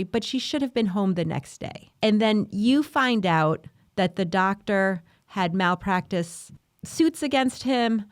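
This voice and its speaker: A woman's voice: medium pitch, no echo, studio quality. A middle-aged woman hosting a podcast interview, asking questions in a casual, chatty way and sharing her own stories. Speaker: grief podcast host.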